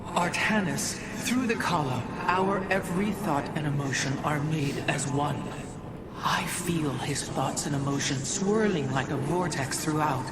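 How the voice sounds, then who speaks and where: A woman's voice: sits low, measured pace. An actress playing a devout, solemn female alien elder in a sci-fi game, formal and fervent. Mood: fervent, pleading. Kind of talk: dramatic sci-fi game acting. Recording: distant, very noisy ambient game audio.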